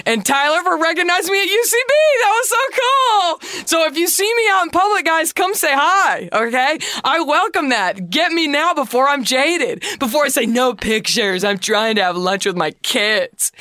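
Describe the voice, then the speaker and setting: Woman, deep voice, bright, smooth, dry close sound, chatty, sarcastic podcast host. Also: expressive, normal speed, hardly any pauses, no echo. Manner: chatty, joking, sarcastic asides. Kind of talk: solo podcast chat.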